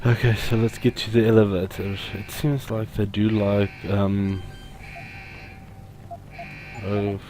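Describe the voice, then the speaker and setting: A man commentates casually on a video game. His voice is on the low side and very slow, hesitating and trailing off. Game music is clearly heard behind him. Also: little pitch movement, mellow. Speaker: Let's Play gamer.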